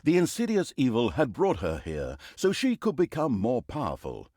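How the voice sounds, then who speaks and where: An actor playing a pompous, mocking narrator of an evil fantasy video game, with theatrical storybook delivery and dry sarcasm. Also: male voice, mid-range voice, wildly swooping pitch, normal speed, dark tone, no room sound. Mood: grandly dramatic. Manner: arch, dryly sarcastic, dramatic emphasis.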